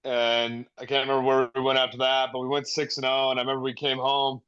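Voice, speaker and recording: A man with a medium-pitched voice reminisces in loose, casual chat. The sound is dry and slightly dull, like a lo-fi online call. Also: monotone, fast, a bit bright, clean tone, no room sound. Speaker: podcast guest.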